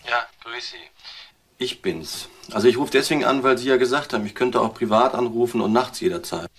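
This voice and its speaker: An actor playing an edgy, street-smart burglar in a radio crime drama, talking in a blunt, wary, colloquial way. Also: male voice, mid-range voice, fairly quick, fairly bright tone, husky. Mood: edgy, confiding. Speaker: petty criminal.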